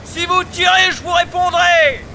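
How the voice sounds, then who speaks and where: An actor playing a cheeky detective's sidekick who shouts defiant retorts in a 1960s French radio serial. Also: male voice, extremely high pitch, fairly even intonation, measured pace, a crowd in the background. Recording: outdoor water ambience, some noise.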